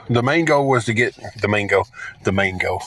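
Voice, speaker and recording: A man with a Southern accent and a raspy voice, chatting casually on a live stream from his phone, rambling and repeating himself. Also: lowish voice, animated, measured pace, fairly bright tone, no echo. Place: parked car, then walking outdoors.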